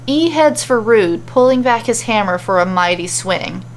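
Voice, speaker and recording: A woman's voice: medium pitch, lively intonation, moderate pace, slight background noise. A woman reading a scripted fantasy adventure story as its narrator, telling it dramatically.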